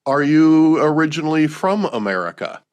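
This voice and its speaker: A man's voice: fairly high pitch, sing-song, moderate pace. A man hosting a podcast, asking his guest questions in an easygoing way. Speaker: podcast host. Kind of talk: interview questions.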